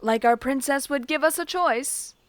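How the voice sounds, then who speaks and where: A woman's voice: high-pitched, moderate pace, no room sound, natural voice. A woman playing a loyal, wryly teasing royal knight in a fantasy audio drama.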